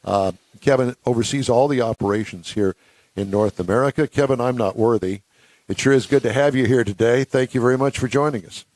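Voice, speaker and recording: A man's voice: mid-range voice, fairly quick, darkish tone, no echo. A man hosting a radio talk show from a studio, folksy and joking, sometimes tripping over long introductions.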